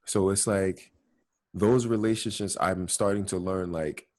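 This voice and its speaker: Young male host, very low voice, laid-back reflective chat. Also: steady tone, measured pace, a little mellow. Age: young adult. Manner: thoughtful, fillers like "you know".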